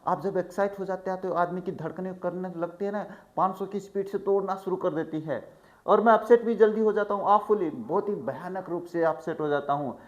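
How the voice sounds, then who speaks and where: A male teacher in a chatty lesson, who reads English lines slowly and then explains each one in Hindi. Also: high-pitched. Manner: chatty, addresses students as "bhai". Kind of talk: line-by-line textbook explanation.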